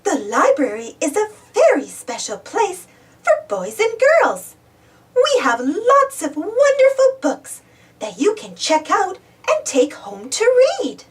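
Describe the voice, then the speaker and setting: A woman voicing a welcoming librarian in a storybook, warm and instructive. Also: very high-pitched, huge pitch swings, slow pace, recorded from a distance, voice pushed up, full character voice.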